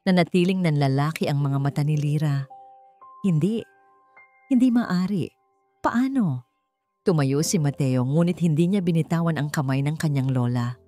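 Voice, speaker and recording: A Filipino woman tells a dramatic scripted story in a low register. Her tone is dark and soft-edged, and her delivery is measured, with faint music underneath. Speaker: story narrator.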